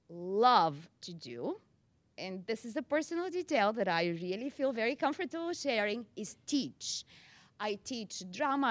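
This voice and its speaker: A middle-aged woman introduces herself to her colleagues. She is chatty and self-deprecating and laughs often. Her voice sits fairly high and sounds close and dry. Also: no room sound. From Bulgaria. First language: Bulgarian. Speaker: library director. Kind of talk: self-introduction at a staff gathering.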